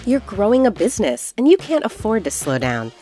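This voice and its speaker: A woman's voice: mid-range voice, big pitch swings, brisk, dark tone, faint music behind. A woman announcer reading scripted advertising narration.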